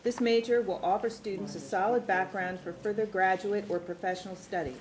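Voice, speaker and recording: A woman's voice: mid-range voice, steady tone, a little fast, crowd noise, rough recording. A woman in her sixties reading formal program summaries at a board meeting in a large conference room, on a table microphone. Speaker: university administrator presenting programs.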